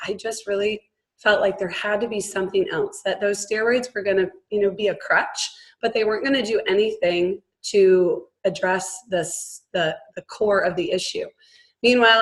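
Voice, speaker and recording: A woman in her thirties with a hoarse voice, having mostly lost it, earnestly sharing her personal story as a guest on a business call. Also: medium pitch, rough recording. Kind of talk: personal testimonial.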